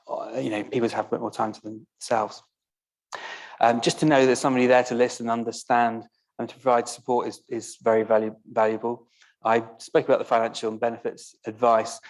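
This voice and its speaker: A man's voice: medium pitch, a little mellow, poor audio. A man presenting a carers support service to an audience, earnest and encouraging. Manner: earnest, with frequent fillers and restarts. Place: theatre function room, live event.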